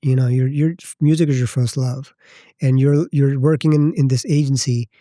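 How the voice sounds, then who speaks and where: A Pakistani-accented man in his thirties speaks as a podcast guest, his voice mellow and close in a dead, dry space. He talks in a reflective, halting way, often stopping to rephrase. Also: medium pitch, fairly even intonation, no echo. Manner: thoughtful, halting, circles back to rephrase. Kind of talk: reflective personal storytelling.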